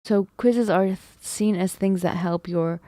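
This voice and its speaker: A woman's voice: mid-range voice, a little slow, warm tone, no room sound, studio quality. A woman live-streaming, thinking aloud over math problems, second-guessing herself and chatting with viewers. She feels her voice is going. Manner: talks to chat, muses and second-guesses herself.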